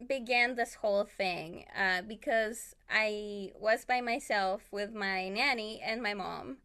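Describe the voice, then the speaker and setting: A woman's voice: mid-range voice, takes it slowly, pushed and bright. A woman from Chile whose native language is Spanish, chatting casually about herself in a giggly, rambling way as an interview guest. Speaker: podcast guest, illustrator and artist. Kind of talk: casual chat, telling own story.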